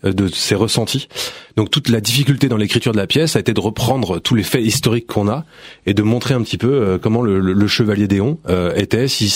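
A man from Burgundy, a playwright and director, talking fast as a radio guest with many restarts. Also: lowish voice, breathy edge, no room sound, clean studio sound. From Burgundy, France. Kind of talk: radio interview. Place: radio studio.

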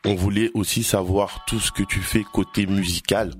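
A man hosting a casual interview, enthusiastic and full of quick affirmations. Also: low voice, steady tone, slight background noise. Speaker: host.

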